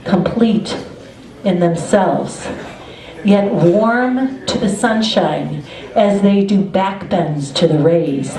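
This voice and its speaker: A woman performing a poem at an open mike, with measured, deliberate phrasing. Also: fairly low pitch, mellow. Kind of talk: slow poetry recital. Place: indoor cafe gallery open mike. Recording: echoey live room.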